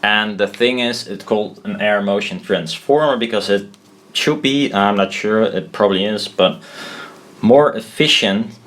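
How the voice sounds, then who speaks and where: A man giving an informal technical tutorial as he draws, halting, thinking aloud and correcting himself as he goes. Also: lowish voice, fairly bright tone. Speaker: presenter of a hobbyist explainer video.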